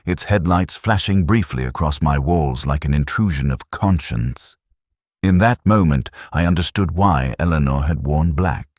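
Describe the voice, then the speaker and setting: Very deep-voiced man narrating a detective mystery. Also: dry recording, studio quality. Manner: measured, deliberate literary reading. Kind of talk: scripted first-person literary narration.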